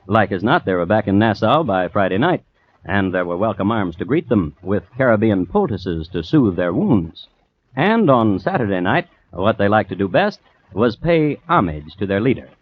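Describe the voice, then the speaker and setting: An actor playing a wry, droll storyteller, narrating a pirate radio play with tongue in cheek. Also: male voice, lowish voice. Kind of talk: old-time radio scripted narration. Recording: tinny, phone-like old radio recording.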